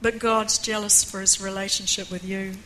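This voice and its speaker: An older woman preaching a personal testimony in an earnest way, with a croaky voice, reading a passage aloud. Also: medium pitch, flat delivery, normal speed, bright, forceful tone, a little hiss or hum, low-quality recording. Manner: earnest, reads aloud with rising emphasis. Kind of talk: testimony sermon.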